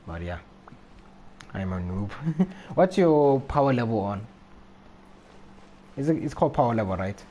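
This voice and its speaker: A man's voice: mid-range voice, expressive, unhurried, long pauses, dark tone. A man chatting casually on a gaming livestream, rambling and repeating himself as he goes. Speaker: live streamer.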